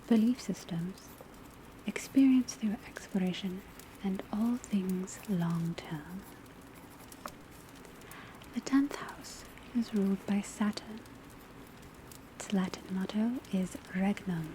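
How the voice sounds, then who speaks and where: A studious witch professor, acted by a woman, gives an ASMR classroom lecture in a soft, breathy whisper. Her tone is dark and gentle, and her delivery is very unhurried and measured. Light rain, a crackling fire and pencil scratches sit faintly behind her. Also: medium pitch, close to an everyday voice. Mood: calm, instructive. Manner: measured, deliberate, instructional. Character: soft-spoken female witch school divination teacher, patient and studious. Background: crackling fireplace, rain on roof, pencil writing.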